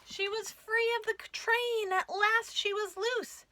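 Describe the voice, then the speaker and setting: A woman reading a rhyming picture book aloud for young children in an expressive storytime style. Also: very high voice, unhurried, a little hiss or hum. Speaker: children's storytime reader at a library.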